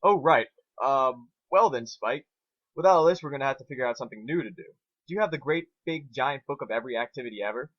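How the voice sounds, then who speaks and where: A man's voice: medium pitch, fast, no room sound, highs cut off, close to an everyday voice. A man voicing a grouchy, bored, foul-mouthed female pony mage in a story reading, given to exasperated outbursts. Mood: exasperated, bossy.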